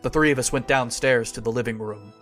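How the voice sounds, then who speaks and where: A man's voice: medium pitch, brisk, fairly bright tone, faint music behind, natural voice. An actor playing a wary, skeptical man who investigates deaths and narrates his own story in an old-time radio horror drama. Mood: grim narrating.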